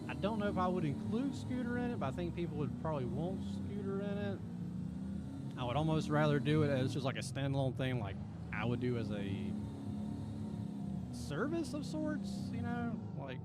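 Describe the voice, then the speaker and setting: A man chats loosely through a live game stream, rambling and chuckling as he answers viewers. His voice sits a bit high with lively swings in pitch. Loud game noise runs behind him throughout. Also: moderate pace. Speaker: streamer and video creator. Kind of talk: casual live-stream chat while playing.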